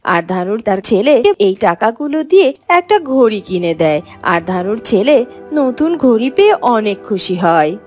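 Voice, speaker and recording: A woman's voice: a little high, sing-song, unhurried, a little mellow. A woman giving scripted narration for a children's fairy-tale cartoon. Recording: music under the voice.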